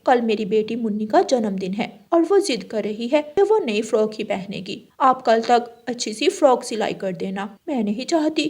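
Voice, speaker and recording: A woman's voice: on the high side, expressive, moderate pace, few pauses, darkish tone, breathy edge, voice pushed down. A woman voicing a polite, fussing mother bird, a courteous and earnest neighbour in a children's cartoon story. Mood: earnest request.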